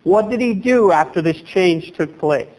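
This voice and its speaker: A man in his thirties preaching a sermon from a lectern in a small chapel, animated and emphatic, recorded by a camera at a distance. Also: high voice, a little slow. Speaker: pastor.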